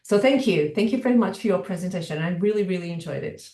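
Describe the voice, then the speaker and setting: A woman giving reflective feedback in an academic discussion on a video call, hesitant and halting, repeating phrases as she thinks. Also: fairly low pitch, lively intonation, dark tone. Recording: roomy echo, distant from the microphone.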